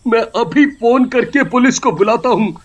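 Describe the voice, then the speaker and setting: A man playing an earnest, dismayed young husband in an animated horror story, urgent and dramatic. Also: very high voice, moderate pace. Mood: shocked, indignant. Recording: slightly dull, muffled recording.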